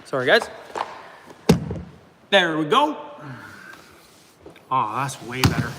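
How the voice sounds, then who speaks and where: A man's voice: huge pitch swings, very slow, long pauses, noisy background. A man in his fifties casually hosting a live stream and reading out viewer comments. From Canada. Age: 50s. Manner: rambling, talks to his dog in a high coaxing voice.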